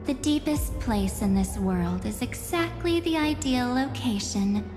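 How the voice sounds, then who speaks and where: An actress playing an enigmatic, taunting young woman in a video game, speaking deliberately with theatrical condescension. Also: a little high, lively intonation. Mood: mysterious, mocking. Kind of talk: dramatic video game voice acting. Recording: echoey reverberant hall, music behind.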